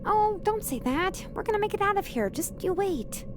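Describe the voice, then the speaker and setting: A woman's voice: extremely high pitch, animated, normal speed, noisy background. A woman playing an earnest, naive, encouraging female student in a visual novel, with a hesitant, guileless way of talking. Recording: clear music behind the voice.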